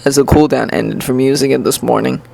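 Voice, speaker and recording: A narrator as terse, low-pitched male hero teacher. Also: steady tone, a little fast, gravelly, a little mellow. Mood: commanding. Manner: terse, instructing. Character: gruff, commanding adult male hero teacher.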